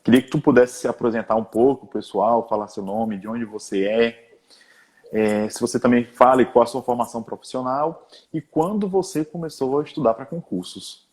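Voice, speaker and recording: Chatty male interview host, husky. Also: medium pitch, normal speed, a little mellow. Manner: friendly, chatty, many tag questions. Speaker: host, exam-prep teacher. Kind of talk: online interview hosting.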